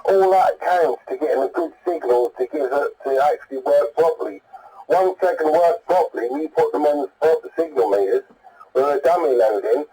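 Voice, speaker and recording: A British man comes through on CB radio with a mellow, slightly high voice. He is a hobbyist chatting casually, blunt and colloquial, rambling from one point to the next. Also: normal speed, breathy edge, low-quality recording. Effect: heard over CB radio. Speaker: CB radio enthusiast calling in.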